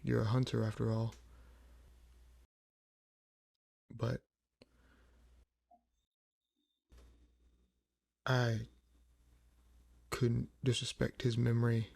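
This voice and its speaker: A man as a gravelly, brooding vampire. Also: medium pitch, completely flat delivery, a little mellow. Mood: somber, guarded. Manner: measured, brooding, pausing often. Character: weary, proud old vampire with a buried past. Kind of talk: slow dramatic audio roleplay monologue.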